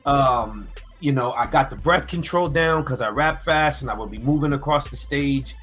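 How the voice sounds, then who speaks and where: A man in his own loose, animated way, chatting about music as a co-host. His pitch sits in the middle for a man, and faint music plays softly behind him. He often breaks off and restarts his thoughts. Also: normal speed. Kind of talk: casual chat.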